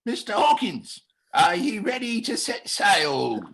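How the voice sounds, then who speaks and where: A performer voicing a salty, blustering cartoon bug who plays a fearsome pirate captain, gravelly and husky, in growling pirate dialect with theatrical menace. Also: mid-range voice, very animated, slow, fairly bright tone, exaggerated voice. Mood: menacing, swaggering. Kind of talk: animation table read.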